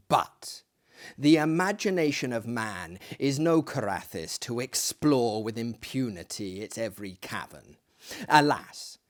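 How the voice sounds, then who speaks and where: A man with a mid-pitched voice delivers in a hammy, theatrical manner. His pitch roams widely and he takes his time, swelling grandly and then sinking into hushes. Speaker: reader and host of dramatic readings. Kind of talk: theatrical dramatic reading of a gothic story.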